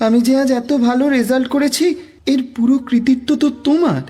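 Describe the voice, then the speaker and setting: A woman's voice: high-pitched, fairly even intonation, fairly quick, mellow. A woman voicing a devoted, sensitive teenage boy in a cartoon, earnest and pleading with an elder. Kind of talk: Bengali cartoon voice acting.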